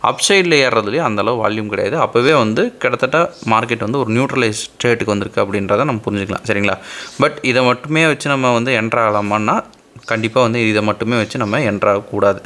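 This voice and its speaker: A man's voice: medium pitch, a bit bright. A man with an Indian Tamil accent, explaining step by step as a trading instructor in an informal screen-share tutorial and often checking that listeners follow. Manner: explanatory, often checking understanding with short tag questions.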